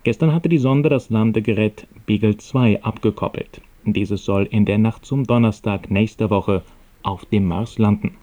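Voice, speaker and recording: A man reading scripted radio news in a formal, measured and neutral manner. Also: lowish voice, mellow, muffled recording.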